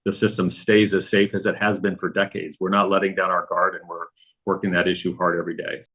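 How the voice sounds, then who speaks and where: A man with an American accent giving measured interview answers as a government agency chief. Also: low-pitched, level tone, fairly bright tone, husky.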